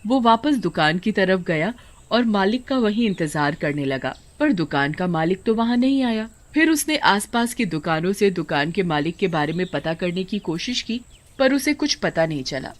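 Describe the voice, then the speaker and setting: A woman's voice: fairly low pitch, lively intonation, normal speed. A woman narrator reading a scripted moral tale as storytelling.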